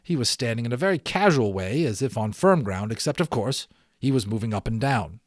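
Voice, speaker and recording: A man reads an adventure story aloud in a very animated voice, swooping up and down for dramatic emphasis. The recording is dry and close, with the feel of an expressive audiobook. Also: mid-range voice, fairly quick, no echo. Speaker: audiobook reader.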